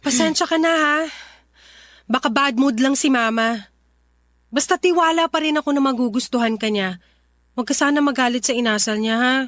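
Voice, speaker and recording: High-voiced earnest young Filipina. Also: female voice, normal speed, pauses often, a bit bright, no room sound, clean studio sound. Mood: soft reassurance. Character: earnest, defiant young adult daughter in love. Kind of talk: Tagalog radio drama acting.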